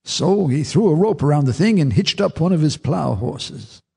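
An actor narrating a radio drama as an older farmer telling his memories in a measured, folksy way. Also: a little high, expressive, gravelly, dark tone, husky, full character voice. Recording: reverberant radio drama with music.

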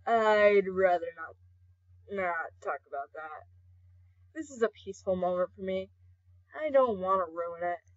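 A woman's voice: on the low side, a little slow, long pauses, dull audio, lightly acted. A woman voicing a brooding, flustered, hot-tempered skeleton young man in an amateur comic dub, hesitant and stammering. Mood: guarded.

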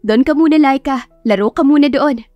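A woman puts on a flustered young Filipino woman in a romance audio drama. She sounds pouty and fairly high, with soft music behind. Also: a little fast, dry recording, muffled recording, close to an everyday voice. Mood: gentle. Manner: pouty, plain-spoken.

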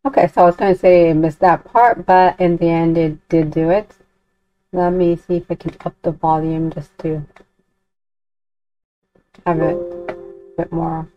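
A woman giving a casual software walkthrough as a tutorial host, talking as she works through the steps. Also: on the low side, fairly even intonation, a little slow, long pauses, dry recording, soft background music. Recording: dull lo-fi home recording.

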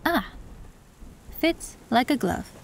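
Woman playing a calm cyberpunk tech. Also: high voice, takes it slowly, background noise, heavily acted. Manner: calm, matter-of-fact, explaining. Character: female cyberpunk tech who modifies androids. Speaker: voice actress in audio roleplay. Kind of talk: scripted audio roleplay.

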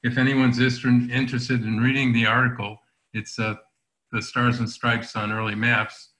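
A man's voice: mid-range voice, little pitch movement, normal speed, bright, forceful tone. Hesitant man presenting in an echoey room. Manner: hesitant, many fillers. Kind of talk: online lecture. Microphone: video call. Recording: roomy echo on call audio.

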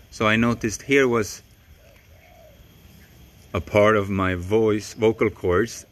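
A young man whose first language is Swedish, teaching voice technique to the camera in a slow, calm and deliberate way with long pauses, outdoors in a tropical garden. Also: lowish voice. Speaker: voice coach.

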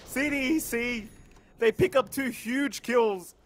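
Excitable high-voiced male caster. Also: takes it slowly. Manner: excitable, teasing, rises sharply in big moments. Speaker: esports caster. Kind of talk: play-by-play commentary.

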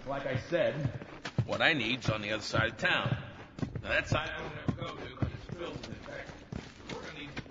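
An actor with an American accent playing a gruff, paranoid, hot-tempered middle-aged survivalist in a video game, belligerent and sarcastic. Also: male voice, mid-range voice, expressive, fairly quick, long pauses, lightly acted. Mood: bossy. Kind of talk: dramatic video game acting. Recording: muffled game audio with background noise.

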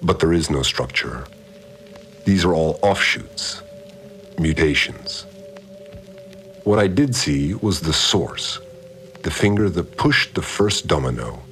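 A calm, controlling priest of a strange order narrates his log in a measured, solemn audio drama. His voice sits very deep yet moves expressively through each line. Soft music lies underneath. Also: exaggerated voice. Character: calm, cryptic, controlling male cult priest.

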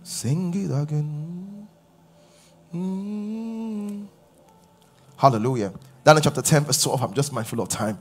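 Pastor, man in his forties, husky, pitch leaps around while preaching on a big stage, faint music under. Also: slow, pauses often. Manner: animated preaching, rising loud on key points, asking the crowd questions. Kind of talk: sermon. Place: large church stage with live audience.

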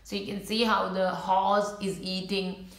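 A woman's voice: mid-range voice, level tone, unhurried. A woman reading a children's storybook aloud, adding simple explanations for young listeners. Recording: roomy, echoing room.

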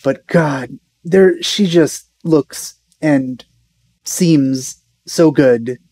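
Mellow man, dry sound. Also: a little high, drawn-out words, no room sound. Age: young adult. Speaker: reaction video host. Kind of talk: casual reaction commentary.

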